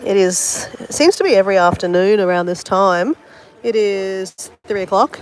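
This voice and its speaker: A woman's voice: medium pitch, normal speed, clean tone, low-quality recording. An Australian woman with a bit of a cold, chatting casually and mocking herself to viewers as she paints outdoors by the sea. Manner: chatty, self-mocking, talks straight to viewers. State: sniffly with a cold. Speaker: travel vlogger. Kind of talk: casual livestream chat while painting.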